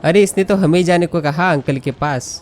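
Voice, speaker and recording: A woman's voice: low-pitched, racing, a little mellow. A woman with an Indian accent, a Hindi native, giving chatty, casual gameplay commentary as she reacts to dialogue. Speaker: gaming commentator.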